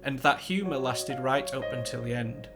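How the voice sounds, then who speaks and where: A man's voice: medium pitch, moderate pace. A man narrating a eulogy he wrote for his grandmother, telling fond stories. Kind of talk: scripted narration. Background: guitar music.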